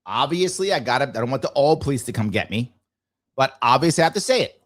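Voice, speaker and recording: A man's voice: mid-range voice, expressive, fast. A man hosting a live talk show, giving blunt, argumentative commentary and repeating phrases emphatically to drive points home.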